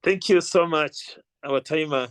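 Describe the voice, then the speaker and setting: A man's voice: a little high, measured pace, clean tone, no echo. A Ugandan man hosting a club meeting in a courteous, formal, encouraging way. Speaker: meeting host, toastmaster.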